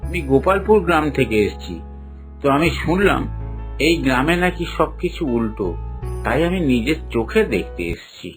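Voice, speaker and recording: Guy doing an eager curious village visitor for a cartoon, pitched up from his usual, light music behind. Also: sing-song, moderate pace. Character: Harish, curious earnest male visitor to a strange village. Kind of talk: Bengali cartoon dubbing.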